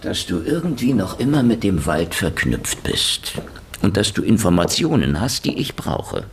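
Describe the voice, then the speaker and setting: An actor playing a cynical, wisecracking investigator in a German radio play, sardonic and needling in manner. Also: male voice, low-pitched, very animated, moderate pace, a little hiss or hum. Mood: suspicious. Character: cynical, wisecracking hard-boiled male investigator in Soviet Union.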